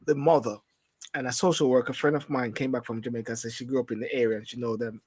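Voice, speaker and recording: A man's voice: mid-range voice, steady tone, fast, crowd noise. A man hosting a casual rum-bar style talk show, rambling and repeating himself as he loses his thread.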